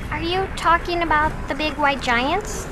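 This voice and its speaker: A woman's voice: sits high, normal speed, noisy background, lightly acted. A woman playing an innocent, anxious young daughter in an audio drama, speaking with hesitant, childlike phrasing. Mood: wondering, eerie.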